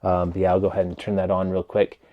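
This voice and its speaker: A man casually reviewing a wireless mic in a relaxed, rambling way, with lots of "um" and "like", speaking into a clip-on mic plugged into his phone. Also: fast talker, muffled recording. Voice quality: low voice. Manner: rambling, filler-heavy, relaxed. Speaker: tech reviewer.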